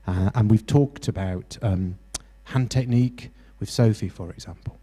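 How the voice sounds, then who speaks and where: Brit guy running a workshop in a hall, warm low-key voice, stops and restarts a lot, faint hum. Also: husky. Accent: British. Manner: encouraging, halting with many restarts. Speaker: workshop facilitator. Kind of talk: interactive workshop teaching.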